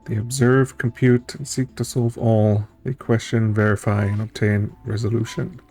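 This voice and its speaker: A man with a low, very mellow voice reads game text aloud. He muses casually between passages as a streamer would. Soft music plays behind him. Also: flat delivery, moderate pace. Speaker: streamer playing through a story game.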